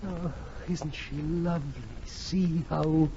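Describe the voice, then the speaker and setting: A tormented gentleman of gothic radio drama. He speaks very slowly, brooding and theatrical, full of dread. The 1940s recording is muffled, with clear noise around the voice. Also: fairly high pitch, fairly even intonation. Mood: tender anguish. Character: tormented, morbid aristocrat, grieving brother haunted by dread.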